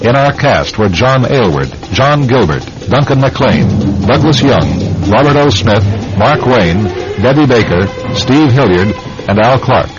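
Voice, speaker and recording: A man's voice: mid-range voice, lively intonation, measured pace. A man reading scripted credits as the director and announcer of a radio drama series. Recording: muffled radio sound under music.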